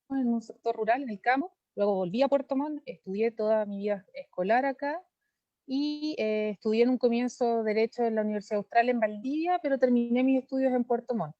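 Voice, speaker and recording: A woman's voice: fairly high pitch, dark tone, no echo, lo-fi sound. A Chilean woman in her thirties, a lawyer and candidate, giving long, earnest answers in an interview over a video call. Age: 30s. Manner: earnest, fluent, gives long detailed answers.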